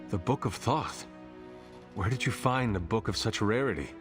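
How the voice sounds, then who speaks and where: An actor playing a stoic legendary warrior in a video game, speaking in a stiff, formal manner. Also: male voice, fairly low pitch, normal speed, over music, close to an everyday voice. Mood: measured, inquisitive. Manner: stiff, formal, avoids contractions. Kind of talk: video game cutscene acting.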